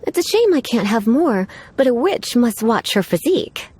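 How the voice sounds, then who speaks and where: A woman's voice: fairly high pitch, big pitch swings, normal speed, darkish tone, husky, no echo. An actress playing a flirtatious, teasing witch librarian with a coy, genteel manner in a video game. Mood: teasing, indulgent. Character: flirtatious, languid adult witch librarian, teasing, quietly menacing. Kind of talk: video game character acting.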